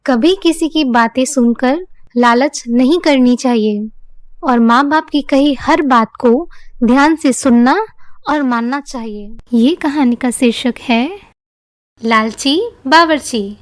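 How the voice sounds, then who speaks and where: A woman's voice: high-pitched, slow, no echo. A woman giving scripted narration of children's moral stories.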